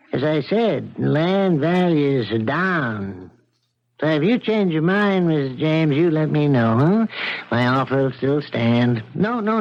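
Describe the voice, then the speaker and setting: An actor playing a scheming, ruthless blackmailer with a bullying, sneering manner in a 1970s radio mystery. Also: male voice, on the high side. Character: scheming, ruthless man, a blackmailing crooked buyer. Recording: dull 1970s radio broadcast.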